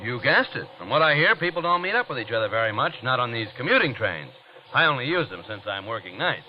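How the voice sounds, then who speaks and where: An actor playing a chatty, sociable, nosy train passenger with a folksy, inquisitive manner, in old radio drama style. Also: male voice, mid-range voice, very animated, fast talker, lightly acted. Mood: friendly, prying. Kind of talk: 1940s-50s radio acting. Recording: dull old radio broadcast, faint noise.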